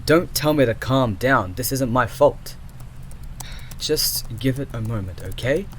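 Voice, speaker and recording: A man plays an exasperated male programmer in an audio drama, talking to the AI he built. His voice sits at a middling male pitch, with a sarcastic, fed-up edge. There is audible background noise behind him. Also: animated, a little slow, lightly acted. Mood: irritated, impatient. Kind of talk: audio roleplay acting.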